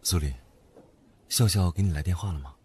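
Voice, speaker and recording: Low-voiced, tender, protective boyfriend played by a man. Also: fairly quick, darkish tone, slightly rough. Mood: worried. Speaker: voice actor. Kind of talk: Chinese boys' love audio drama acting.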